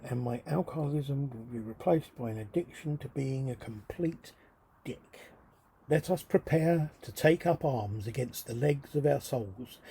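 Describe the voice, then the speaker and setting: A man with a husky, rough-edged voice reading his own writing as a theatrical, brooding spoken-word monologue, delivered deliberately and dramatically. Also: mid-range voice, warm tone. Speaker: writer reading own work.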